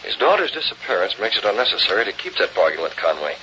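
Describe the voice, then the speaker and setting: An actor playing a determined young newspaper publisher with an insistent, clipped way of speaking, in an old radio drama. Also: male voice, on the low side, very animated, quick pace, bright, forceful tone. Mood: plotting. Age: young adult. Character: determined, probing young newspaper publisher, secretly a masked crimefighter. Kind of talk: 1930s-40s radio drama acting. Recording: dull, noisy old radio recording.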